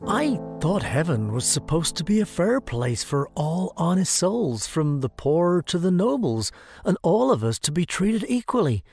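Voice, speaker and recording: A man's voice: fairly high pitch, very animated, a little slow, a little mellow, slightly rough, dry recording, faint music behind, heavily acted. A man voicing a poor peasant farmer in a cartoon, who complains plaintively and asks questions. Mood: aggrieved. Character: grumbling poor old male peasant farmer.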